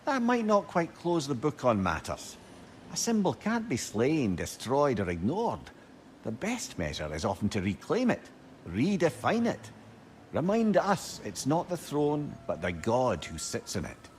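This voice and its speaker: An actor playing a wise, measured companion in a video game, giving thoughtful, articulate counsel to a warrior. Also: male voice, mid-range voice, wildly swooping pitch, slight background noise. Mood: thoughtful, counselling. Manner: thoughtful, articulate, gently advising. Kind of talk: dramatic video game acting.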